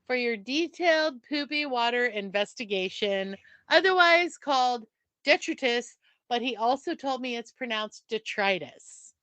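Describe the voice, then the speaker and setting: A middle-aged woman co-hosts a casual podcast, giggling and poking fun at herself. Her voice is bright and a touch high. The sound is dry and close. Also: animated, measured pace, no echo. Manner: chatty, giggly, self-deprecating. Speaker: podcast co-host. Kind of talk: casual chat.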